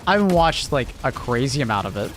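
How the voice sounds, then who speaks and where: A man's voice: mid-range voice, sing-song, fast talker, noisy background. Laid-back Canadian man streaming. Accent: Canadian, close to American. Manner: laid-back, rambling, lots of fillers, chuckles. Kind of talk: casual live-stream chat.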